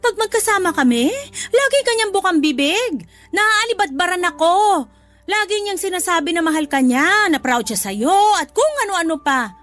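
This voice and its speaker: Cruel, high-voiced, domineering stepmother. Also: animated, normal speed, fairly bright tone, no room sound. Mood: resentful. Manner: scolding, taunting, bossy. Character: cruel, domineering live-in stepmother, spiteful and haughty. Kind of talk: Tagalog radio drama acting.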